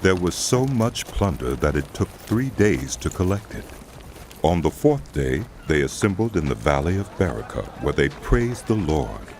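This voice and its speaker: A man narrating scripture as a scripted drama, telling the story in a solemn, measured way. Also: low-pitched, very animated, darkish tone, slight background noise.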